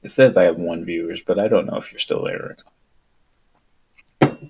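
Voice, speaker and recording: A man streaming solo, reading aloud and chatting casually in an unhurried, rambling, wry manner. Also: low voice, a little mellow, slightly rough. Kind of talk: audiobook-style reading and casual livestream chat.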